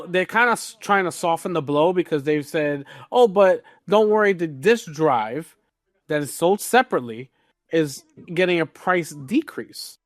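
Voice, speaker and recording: A man with a slightly high voice presents gaming news as a podcast host. He talks casually and leans on words for emphasis. The recording is dry and close. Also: no room sound.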